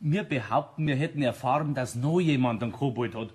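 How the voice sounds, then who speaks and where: A man's voice: medium pitch, fairly quick, a little mellow, husky, lightly acted. An actor playing a mischievous, jovial Bavarian tradesman, a bossy ringleader among pub regulars in a radio play. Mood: scheming.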